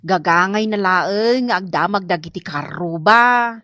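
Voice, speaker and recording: A woman's voice: medium pitch, a little slow, bright, forceful tone, dry recording, clean studio sound. A woman playing a questioning, chiding member of the household in an Ilocano comedy radio drama.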